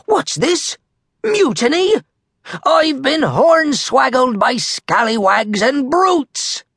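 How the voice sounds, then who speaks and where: A woman puts on a blustering storybook pirate captain with a thick stage pirate accent. The voice is rough and gravelly, leaping wildly up and down. The captain bosses and bellows with theatrical relish. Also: on the low side, big pitch swings, very slow, fairly bright tone, no echo, clean studio sound, pitched above the performer's own voice, exaggerated voice. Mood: outraged. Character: blustering bloodthirsty boy pirate captain, loud and bossy. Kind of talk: children's storybook character voice.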